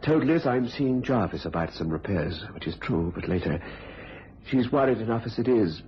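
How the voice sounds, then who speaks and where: An actor playing a frightened, anguished husband who fears for his sanity in a radio thriller, with a halting, overwrought delivery. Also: lowish voice, expressive, natural voice. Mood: troubled, confessing. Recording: dull lo-fi radio recording.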